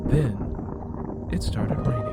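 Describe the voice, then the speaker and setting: A man narrates a dramatic audio story with a solemn, deliberate delivery. His voice sits at a medium pitch, with clear music and rain behind it.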